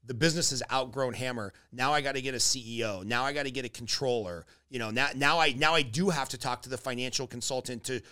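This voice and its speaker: A man's voice: medium pitch, fast talker, few pauses, pushed and bright. A man interviewing in a casual, informal way, with rambling, salty, conversational questions.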